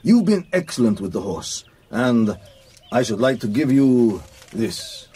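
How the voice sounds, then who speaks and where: An actor playing a proud, rich racehorse owner in a radio play, husky, formal and assertive. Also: male voice, medium pitch, big pitch swings, unhurried, dark tone. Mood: firm. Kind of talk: radio drama acting.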